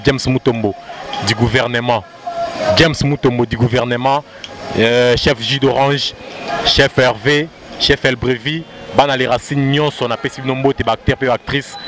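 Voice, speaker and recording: A man with a Congolese French accent, a musician guest chatting casually outdoors at a station, mixing Lingala into his French and often repeating his phrases. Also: medium pitch. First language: Luba. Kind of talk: casual interview chat. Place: outdoors at a busy central station.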